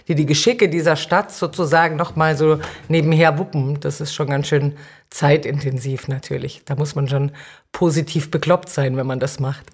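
A middle-aged woman speaks in a low-pitched voice as a guest in an interview. Her answers are conversational and explanatory, phrased informally. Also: fairly even intonation, moderate pace. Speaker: podcast guest, city councillor and cultural manager.

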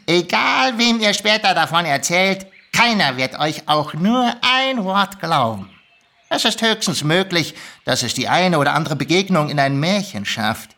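An actor voicing a sly, easily irritated male kobold who haggles nonchalantly, in a lively storybook reading. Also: mid-range voice, a little slow, exaggerated voice. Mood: sly, lecturing. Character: grumpy, sly male kobold dealmaker, ancient magical creature. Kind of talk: lively audiobook character reading.

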